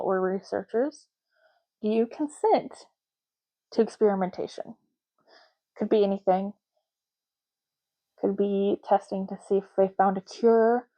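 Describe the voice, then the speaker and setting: A woman speaking softly and unhurriedly in an ASMR roleplay, playing a chatty, matter-of-fact official in short murmured phrases. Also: mid-range voice, muffled recording. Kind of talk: soft-spoken ASMR roleplay.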